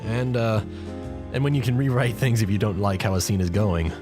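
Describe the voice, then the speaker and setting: A man's voice: fairly low pitch, natural voice. Man playing a shy, hesitant pony writer over music. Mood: nervous, hesitant. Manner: hesitant, halting. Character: shy, nervous adult male pony mystery writer. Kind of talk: fan audio drama character acting. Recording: music behind the voice.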